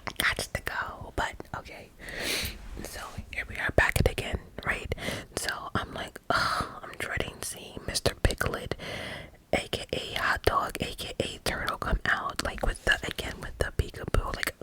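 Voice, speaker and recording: A woman in her forties telling a frank, self-mocking story in a steady whisper, full of asides. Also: normal speed, rough recording. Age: 40s. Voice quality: unvoiced whisper throughout. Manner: candid, frank, laughs at herself, many asides.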